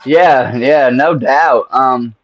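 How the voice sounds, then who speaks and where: A man's voice: medium pitch, drawn-out words. A man hosting a casual interview over a call, talking in a laid-back, slangy, rambling way. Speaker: interview host. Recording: dull lo-fi sound.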